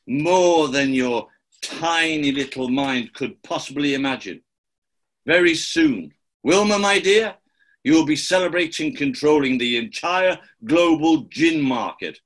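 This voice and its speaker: A man playing a pompous, gloating gangster boss with a gravelly voice in a radio play. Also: on the high side, expressive, fairly bright tone. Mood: smug, menacing. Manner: slow, grandiose, theatrical. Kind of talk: 1940s-style radio play acting.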